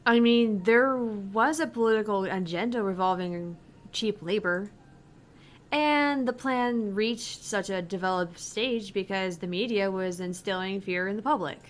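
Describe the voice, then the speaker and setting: A polite young female bartender from a visual novel, read by a woman, with a medium-pitched voice. She sounds earnest and thoughtful, explaining in a measured, wordy way. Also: a bit bright, lightly acted.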